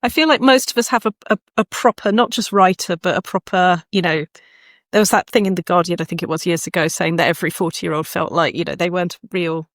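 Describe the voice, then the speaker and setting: A woman's voice: on the low side, fast talker, no room sound, clean studio sound. A woman hosting a conversational podcast interview, speaking reflectively as if thinking a point through aloud. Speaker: podcast host, writing coach.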